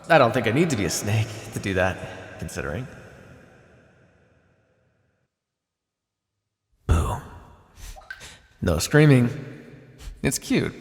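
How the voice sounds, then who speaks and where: A man playing a sardonic vampire captor in an ASMR roleplay, speaking conversationally with hesitant pauses. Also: on the low side, slightly rough, heavily acted. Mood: teasing, predatory. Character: teasing, menacing male vampire captor, centuries old scientist. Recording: long hall reverb, faint background noise.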